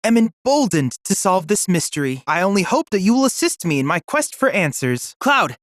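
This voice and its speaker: Bookish android boy, high-pitched, formal, precise, earnest, animated game dialogue. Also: normal speed, no room sound, clean studio sound. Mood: excited, curious. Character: polite, eager, bookish android boy research assistant. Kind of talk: scripted video game dialogue.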